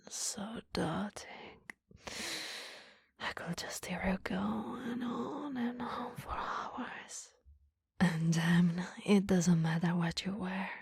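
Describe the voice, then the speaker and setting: Woman acting breathy, whispery flirty female boss. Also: fairly low pitch, a little mellow, dry recording, studio quality, heavily acted. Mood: flirty, admiring. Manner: hesitant, teasing, rambling. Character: flirty, flustered female boss, doting and coy. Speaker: ASMR roleplay performer. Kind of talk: whispered flirty ASMR roleplay.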